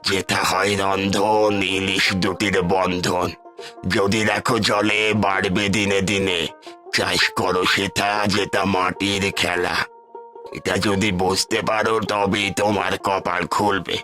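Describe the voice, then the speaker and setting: A man acts out a mysterious old man from a cartoon fairy tale. The low voice is bright and forceful. He gives out riddles in slow, measured phrases. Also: flat delivery, soft background music, full character voice. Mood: cryptic. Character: cryptic old man bringing a magical gift. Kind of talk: cartoon storybook acting.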